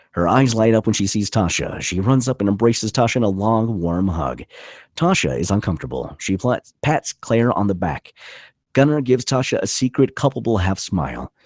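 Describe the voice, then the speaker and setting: Deep, husky, animated male screenplay narrator. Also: no room sound, clean studio sound. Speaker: narrator reading stage directions.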